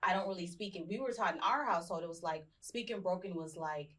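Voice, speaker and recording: A woman's voice: fairly low pitch, fast, fairly bright tone. A woman guest on a panel show who talks reflectively and searches for words. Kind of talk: casual panel talk.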